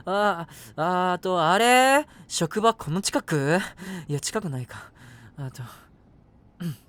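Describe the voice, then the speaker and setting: A man's voice: sits high, takes it slowly, exaggerated voice. A man playing a lovestruck, self-deprecating young man who narrates his crush in a chatty, flustered way in a voice drama. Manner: flustered, animated, self-mocking, talking to himself. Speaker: voice actor. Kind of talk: first-person situation voice drama, chatty narration with acted reactions.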